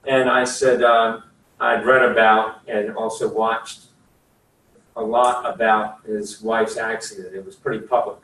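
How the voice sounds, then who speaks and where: An older man chatting in a folksy, rambling way, full of asides and fillers. His voice sits at an ordinary pitch and sounds far off in an echoey room, with a faint hiss underneath. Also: level tone, measured pace, a bit bright. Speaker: attorney. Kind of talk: casual talk at a career session. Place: room at a career services office.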